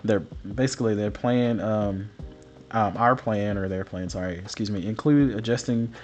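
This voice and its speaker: A man's voice: on the low side, normal speed, a little mellow. A man hosting a gaming video, talking through patch notes in a casual, rambling, apologetic way while sick and partly losing his voice. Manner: rambling, apologetic, many fillers. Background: faint background music.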